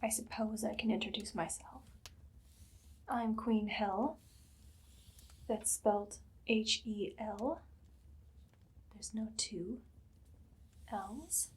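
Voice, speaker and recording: Woman doing an evil queen for asmr, super breathy whispery voice, slow n bossy, smug and kinda menacing. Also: medium pitch. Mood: haughty. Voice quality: breathy, soft, much of it whispered. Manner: slow, deliberate, condescending, commanding. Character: imperious, menacing evil queen, vain and teasing.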